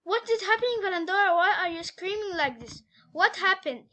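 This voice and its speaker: A woman's voice: extremely high pitch, a little slow, a bit bright. A woman voicing a sleepy, dismissive brother in a scary story, questioning and reassuring.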